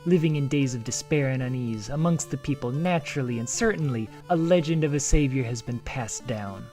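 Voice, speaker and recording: A man with an ordinary mid-range voice presents a game video, reading translated text aloud in a steady voice-over. He often breaks off in a jokey, eager tone to comment, and soft game music plays behind him. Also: mid-range voice, a little fast. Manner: lively, jokey, breaks off to comment with sudden excitement.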